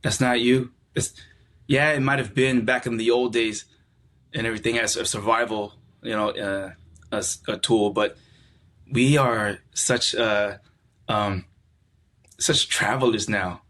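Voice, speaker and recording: A middle-aged man from California, a podcast guest talking in a relaxed, reflective way and often repeating words as he searches for them. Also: on the low side, measured pace, slight background noise. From California, USA. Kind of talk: casual conversational interview.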